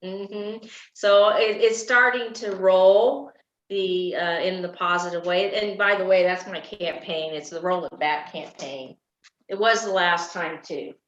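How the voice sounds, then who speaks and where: A woman's voice: on the low side, fairly even intonation, unhurried. A woman running for office, answering interview questions in a casual, talkative way and laughing often. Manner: laughs often, self-described loud voice. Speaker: political candidate being interviewed. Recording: echoey, dull lo-fi recording.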